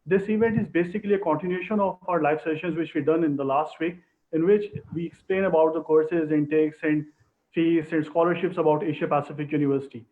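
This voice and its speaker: A man from Pakistan hosting a live online information session in formal, halting phrasing over a video call. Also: a little high, steady tone. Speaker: host. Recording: tinny phone-like line.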